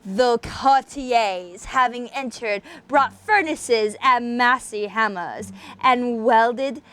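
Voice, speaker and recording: A woman's voice: fairly high pitch, takes it slowly, fairly bright tone. A woman at home who chats casually and gives theatrical, dramatic readings of spooky stories as an amateur host. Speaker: host and amateur story reader. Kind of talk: casual chat, then dramatic reading of a gothic horror story. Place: home workspace.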